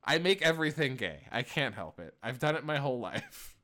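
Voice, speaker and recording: Bright-voiced man in his twenties. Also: medium pitch, a little fast. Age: 20s. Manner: rambling, animated, self-interrupting. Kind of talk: casual chat.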